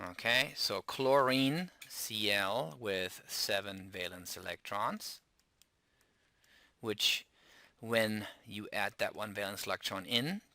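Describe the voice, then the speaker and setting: A man's voice: on the low side, pushed and bright, no echo. A man with a German accent giving a chemistry lecture, explaining step by step, spelling out word endings and restarting phrases as he goes. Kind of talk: classroom lecture.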